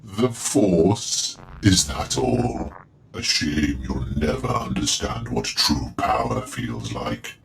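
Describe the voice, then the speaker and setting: A man's voice: extremely low pitch, big pitch swings, takes it slowly, creaky, slight background noise, exaggerated voice, low-quality recording. An actor playing a menacing cyborg Sith villain in a video game, arrogant, cold and gloating as he speaks. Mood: arrogant, threatening.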